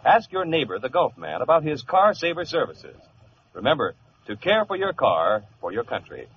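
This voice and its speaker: A man announcing and reading a sponsor's pitch in the style of 1940s radio advertising. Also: very animated, moderate pace. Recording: muffled old radio, faint hiss.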